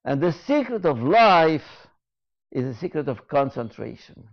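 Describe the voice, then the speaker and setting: Man giving a slow thoughtful talk, kinda high voice, repeats himself, muffled lo-fi sound. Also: big pitch swings, creaky. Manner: slow and deliberate, repeats key phrases, frequent hesitations. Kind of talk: reflective spoken lecture.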